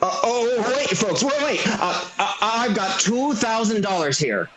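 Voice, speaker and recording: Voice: medium pitch, animated, measured pace, crowd noise, close to an everyday voice, rough recording. An actor playing an anxious, pleading young small-town loan man in a radio play, stammering and hesitant over a video call. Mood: placating. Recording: video call audio with background voices.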